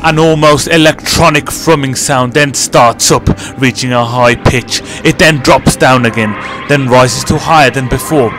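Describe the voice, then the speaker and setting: Male audiobook narrator, medium pitch, reading a sci-fi story over music. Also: a little slow, few pauses.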